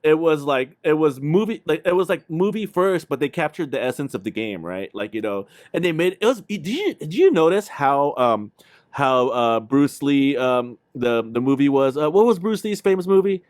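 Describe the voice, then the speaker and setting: A man's voice: a little high, lively intonation, moderate pace, darkish tone, dry recording, clean studio sound. A man co-hosting a casual livestream, telling stories in a slangy, rambling way. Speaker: podcast co-host.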